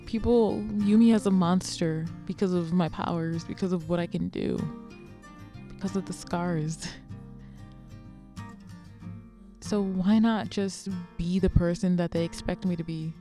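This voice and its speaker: A woman's voice: lowish voice, steady tone, normal speed, mellow, soft background music, natural voice. A woman playing a cool, proud, world-weary scarred vigilante in a superhero radio drama. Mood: bitter.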